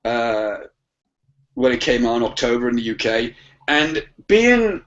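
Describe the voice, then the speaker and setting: A man's voice: medium pitch, big pitch swings, a little slow. A man chatting casually about films as a podcast guest, rambling and often rephrasing himself. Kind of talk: casual film discussion. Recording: dull lo-fi audio.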